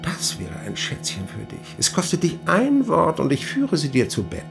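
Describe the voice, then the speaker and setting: An actor playing a mocking, verbose devil in a radio play, with theatrical, wheedling delivery and pompous old-fashioned phrasing. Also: male voice, on the high side, wildly swooping pitch, normal speed, creaky, over music, exaggerated voice. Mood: sly, needling. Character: mocking, verbose devil, a tempter selling time. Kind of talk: German radio play acting.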